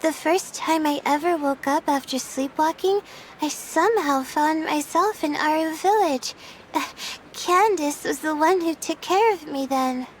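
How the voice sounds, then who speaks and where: A woman's voice: high-pitched, measured pace, slight background noise, low-quality recording. A woman voicing a weary, timid young student in a video game, speaking hesitantly.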